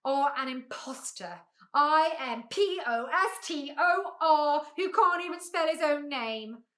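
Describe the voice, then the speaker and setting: A storybook spelling bee, played by a woman reading aloud, male, fussy and proud of his learning. The voice is high and bright, with an excitable, prickly manner. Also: normal speed. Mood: indignant. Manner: fussy, spells words out letter by letter.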